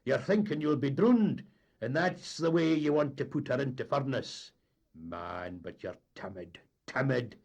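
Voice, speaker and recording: A man voicing a vain, boastful Highland boat captain with a Scottish accent. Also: animated, unhurried, creaky, a little mellow. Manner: blustering, boastful.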